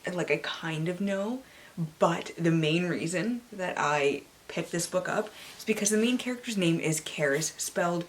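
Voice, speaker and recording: Theatrical, excitable woman with a lowish voice. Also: normal speed. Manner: excitable, theatrical, dramatic emphasis. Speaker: book reviewer and vlogger. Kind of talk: book haul vlog with dramatic blurb readings.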